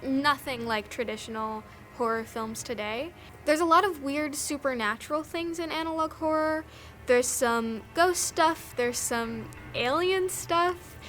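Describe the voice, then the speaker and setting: A high-pitched woman gives casual, conversational commentary with an enthusiastic manner. Faint music plays behind her as she talks in an interview style. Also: unhurried, fairly bright tone. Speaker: documentary interviewee, filmmaker.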